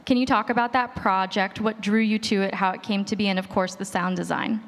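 A woman's voice: mid-range voice, fairly even intonation, fairly quick, a little hiss or hum. A woman moderating a panel, giving a prepared introduction and putting questions to a guest. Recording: long echo of a hall.

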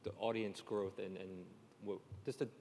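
A man's voice: on the low side, fairly even intonation, normal speed, a little mellow. A man moderating a panel discussion in a conversational style. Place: large room at a conference panel. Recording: echoey hall with long reverb.

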